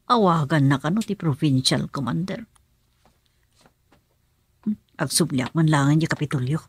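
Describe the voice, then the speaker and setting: A woman's voice: low-pitched, husky, dry recording. A woman with an Ilocano accent playing a guarded investigator musing in a radio drama.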